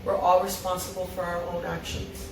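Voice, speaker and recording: A woman's voice: fairly low pitch, level tone, normal speed, background noise, close to an everyday voice. A woman reading the part of an earnest, guilt-ridden younger brother in a drama table read. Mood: resolute, earnest. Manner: earnest, pleading. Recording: roomy, distant mic, music underneath.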